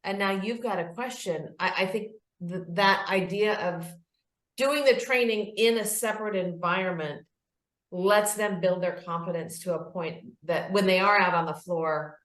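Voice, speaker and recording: A woman's voice: medium pitch, normal speed, fairly bright tone. Woman podcast host, roomy echo. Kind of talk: conversational interviewing. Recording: roomy, echoing sound.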